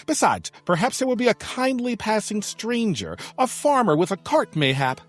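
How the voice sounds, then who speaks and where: A man's voice: high-pitched, big pitch swings, normal speed, no echo. A man playing a theatrical young adventurer in an audio drama, narrating a quest in florid, dramatic style. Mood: hopeful. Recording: music behind the voice.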